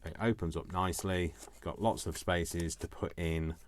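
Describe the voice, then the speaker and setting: Deep-voiced man rambling through a craft tutorial. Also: steady tone, moderate pace. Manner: rambling, self-deprecating, jokey asides. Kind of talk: casual, chatty craft demonstration. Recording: noticeable background noise, small clicks.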